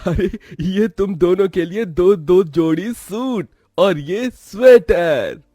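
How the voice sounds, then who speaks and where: A man's voice: high-pitched, animated, slow, dark tone, no echo, studio quality, exaggerated voice. A man voicing two poor, devoted older brothers in a cartoon, speaking in an earnest, emotional way. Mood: tender. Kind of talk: cartoon voice acting.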